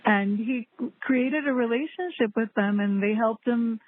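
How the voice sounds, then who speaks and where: A woman's voice: fairly high pitch, unhurried, slight background noise. Woman podcast host, friendly, casual, tinny phone-like audio.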